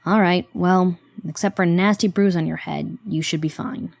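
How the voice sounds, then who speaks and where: A reluctant but loyal accomplice in a psychological horror audio drama. Her voice is on the low side and recorded very dry and close. She talks with sardonic, conversational bluntness. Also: fairly even intonation, moderate pace, creaky, no room sound. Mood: dryly concerned. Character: wry, reluctant but loyal woman helping an investigation.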